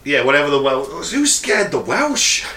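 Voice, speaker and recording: A man's voice: a little high, distant mic. A man with a Shropshire accent, co-hosting a podcast with sweary, jokey banter. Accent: Shropshire English. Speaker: podcast co-host. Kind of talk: casual chat. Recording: echoey room, faint background hiss.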